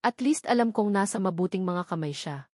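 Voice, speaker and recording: A smooth, falsely friendly rich man who talks breezily and chats on, as a woman reads him out. He hides a controlling edge under cheer. The voice is dry and close. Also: medium pitch, lively intonation, brisk, darkish tone, dry recording, lightly acted. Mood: cheerful. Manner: breezy, chatty, overly friendly.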